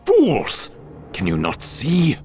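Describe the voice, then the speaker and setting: Scheming elf villain for fantasy game: haughty, intense, swooping intonation, faint music. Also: male voice, on the high side, wildly swooping pitch, very slow, darkish tone, full character voice. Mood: defiant. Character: proud, scheming, embittered male demon hunter elf.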